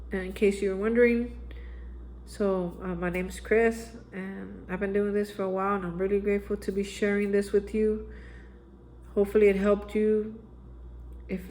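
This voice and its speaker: A man's voice: sits high, darkish tone, a little hiss or hum. Earnest male vlogger with a plain speaking voice; exhorting, repetitive spiritual reflection. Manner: earnest, exhorting, repeats phrases and says "man". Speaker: recovery vlogger. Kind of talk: daily spiritual reflection monologue. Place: Austin, Texas area.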